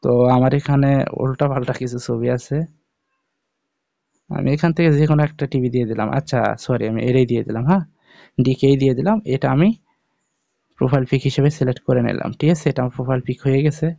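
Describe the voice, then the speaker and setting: A man's voice: mid-range voice, fast talker, vocal fry, pauses often, dark tone, dry recording, highs cut off. A man hosting an informal step-by-step app tutorial, speaking directly to viewers and checking in with them often. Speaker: tutorial video host.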